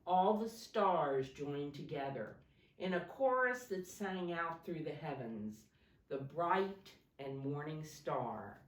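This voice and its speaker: A woman reads a picture book aloud to preschoolers like a teacher, expressively and deliberately, addressing the young listeners directly. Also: low-pitched, lively intonation. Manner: slow, deliberate, pausing to ask young listeners questions. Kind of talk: expressive children's storybook read-aloud. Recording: roomy echo, distant microphone.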